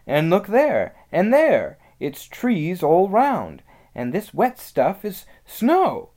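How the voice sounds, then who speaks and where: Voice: medium pitch, wildly swooping pitch, takes it slowly, warm tone, pitched above the performer's own voice. A storybook narrator voicing a hearty, decisive schoolboy, the eldest brother.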